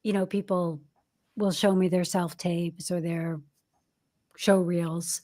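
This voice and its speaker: A woman's voice: fairly low pitch, little pitch movement, slow pace, mellow. An American woman in her fifties, a career coach for actors, talking candidly and reflectively in a podcast interview, with frequent restarts and fillers. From United States. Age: 50s. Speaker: acting career coach, guest.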